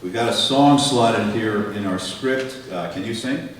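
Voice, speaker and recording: A man's voice: lowish voice, a little slow, lightly acted. A man playing a dry, exasperated show colleague in a comic radio sketch. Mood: dry amusement. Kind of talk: comic old-time radio sketch acting before a live audience. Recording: very echoey hall, distant mic, audience noise.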